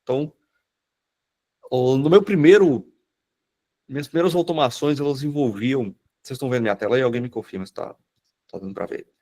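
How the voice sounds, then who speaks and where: A man with a cold talks in a hoarse, slightly nasal voice at an ordinary mid pitch. He rambles informally through a live webinar, full of fillers and restarts. He sounds like he is on a computer mic during a video call. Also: brisk, pauses often, mellow. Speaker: automation business owner presenting in a webinar. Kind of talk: casual live presentation.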